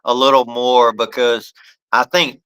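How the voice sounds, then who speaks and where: A man's voice: medium pitch, flat delivery, unhurried, pushed and bright, clean tone, poor audio. A man hosting a casual live-stream chat, laughing often and talking in an easygoing, upbeat way. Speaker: host.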